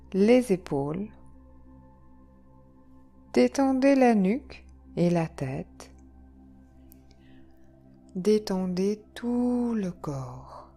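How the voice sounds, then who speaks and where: A woman leading a guided yoga nidra relaxation, speaking calmly and soothingly in short phrases with long pauses. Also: fairly low pitch. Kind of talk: guided relaxation meditation, slow instructions. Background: soft background music.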